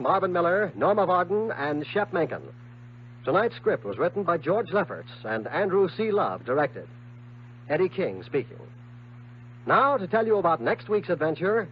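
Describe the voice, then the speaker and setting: Animated American male radio announcer. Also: sits high. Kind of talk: 1950s radio announcing, scripted. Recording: muffled, noisy 1950s radio recording.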